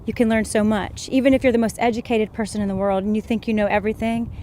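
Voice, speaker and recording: A woman from Georgia with a slightly high voice talks with a reporter, earnest and enthusiastic, words coming in quick bursts. Faint outdoor ambience and passing traffic sit behind her. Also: flat delivery, dark tone. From Georgia, USA. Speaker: interview guest. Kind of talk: casual interview conversation.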